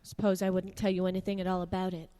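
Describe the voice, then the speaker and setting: An actress playing a beautiful, treacherous young woman in a radio drama, speaking in a halting, evasive way. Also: on the low side, completely flat delivery, warm tone, in a large hall, natural voice.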